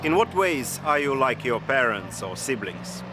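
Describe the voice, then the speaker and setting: A male presenter from Moscow speaks at a middle pitch, introducing himself and asking interview questions. Music plays clearly behind his voice. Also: very animated, unhurried. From Moscow, Russia.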